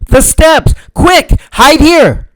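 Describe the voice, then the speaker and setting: A man's voice: very high voice, expressive, very slow, fairly bright tone, voice pushed up, full character voice. A man voicing an urgent, scheming young boy in a storybook, exclaiming his lines.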